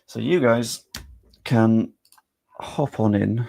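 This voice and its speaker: A man's voice: mid-range voice, very slow, gravelly, a little mellow. A young man live-streaming, chatting casually with his viewers and thinking aloud as he works on screen.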